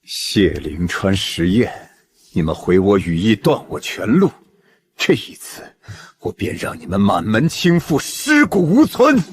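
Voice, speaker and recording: A man's voice: fairly low pitch, sing-song, unhurried. A man playing a bitter, vengeful old scheming chancellor in a Chinese costume drama.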